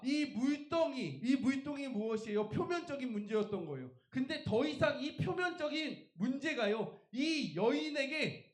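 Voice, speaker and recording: Male pastor, high-pitched voice, emphatic sermon delivery, rhetorical questions, roomy echo. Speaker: pastor preaching. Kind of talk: Korean church revival sermon.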